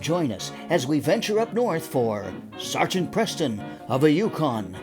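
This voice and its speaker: An animated man with a slightly high voice speaks over clear music. He is a host giving a scripted introduction to a radio show. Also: unhurried.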